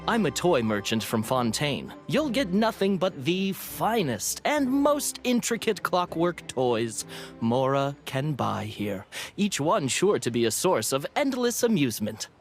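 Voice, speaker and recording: A man voicing a courteous, polished toy merchant giving a courtly sales pitch. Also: sing-song, unhurried. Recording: game audio with music.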